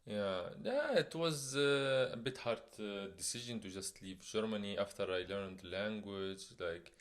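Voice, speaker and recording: A middle-aged man from Tunisia, co-hosting a podcast in halting full of fillers. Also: fairly low pitch. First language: Tunisian Arabic. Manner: halting non-native English with fillers and laughs. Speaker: podcast co-host. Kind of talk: casual chat.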